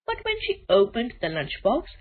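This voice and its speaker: A woman's voice: a little high, huge pitch swings, normal speed, slightly rough, narrow, tinny audio. A woman narrating a scripted children's story expressively, in the manner of a storyteller.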